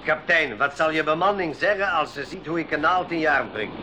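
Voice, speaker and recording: An actor playing a plucky, sly adventurer in a school radio drama. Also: male voice, on the high side, expressive, fairly quick, close to an everyday voice. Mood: mock obedient. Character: plucky, sly adult helper of the professor. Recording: clearly noisy 1980s radio recording.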